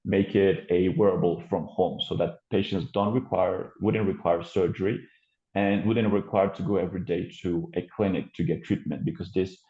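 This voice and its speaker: French guy presenting over a call, low mellow voice, lots of uhs, roomy muffled sound. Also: fairly even intonation, normal speed. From France. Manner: hesitant, many fillers and restarts. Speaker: company co-founder presenting. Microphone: video call.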